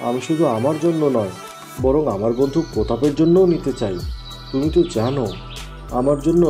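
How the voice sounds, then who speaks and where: A man's voice: medium pitch, mellow, lightly acted. A man playing an earnest, wistful village farmer in a fairy tale cartoon, with a plaintive way of talking. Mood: astonished.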